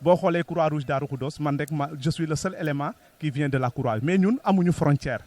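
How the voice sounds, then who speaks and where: Senegalese man, slightly high-pitched voice. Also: fairly quick, darkish tone. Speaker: Red Cross local secretary-general, interviewee. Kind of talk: interview answers mixing Wolof and French.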